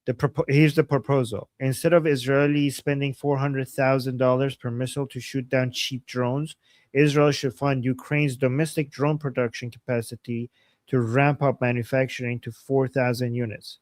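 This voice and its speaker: A man co-hosting a live stream, laying out a proposal step by step in a measured, explanatory way. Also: medium pitch, little pitch movement, a little mellow, no echo, studio quality. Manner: explains points methodically, brief acknowledgements. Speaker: co-host. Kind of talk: casual live-stream chat.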